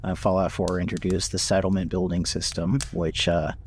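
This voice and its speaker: A man chatting casually in a halting, good-humored and self-mocking way. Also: deep voice, level tone, a little mellow, slight background noise. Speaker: podcast voice actor and writer. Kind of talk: casual chat.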